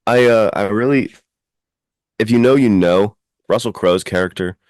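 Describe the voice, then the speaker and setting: A man hosting a podcast, discussing films casually and in an animated way. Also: fairly low pitch, normal speed, no room sound, studio quality. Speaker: podcast host.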